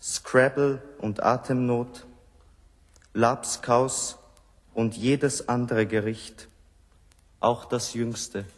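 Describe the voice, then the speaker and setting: A man reciting poetry in a measured manner, line by line. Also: mid-range voice, steady tone. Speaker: reader of poetry. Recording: long reverberant hall echo.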